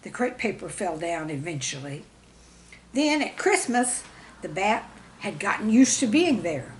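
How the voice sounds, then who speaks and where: A woman reading a scripted children's story with expressive, dramatic storybook emphasis. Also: mid-range voice, measured pace, slight background noise. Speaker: storyteller and author reading own stories.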